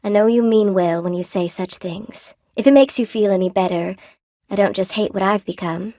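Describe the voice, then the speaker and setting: Video game spider weaver woman, played by an actress: mid-pitched, coy, folksy and wry, friendly chatter, dry close studio sound. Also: steady tone, normal speed, warm tone, no room sound, close to an everyday voice. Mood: bittersweet. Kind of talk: video game character dialogue. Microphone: close dry studio microphone.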